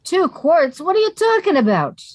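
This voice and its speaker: A woman's voice: extremely high pitch, big pitch swings, normal speed, a little mellow, no room sound. A woman playing a sensible, wisecracking young woman with a dry, sardonic delivery in a radio comedy.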